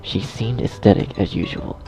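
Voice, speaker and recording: A man's voice: moderate pace, mellow. A man narrating a scripted story, recorded slightly dull. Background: faint music.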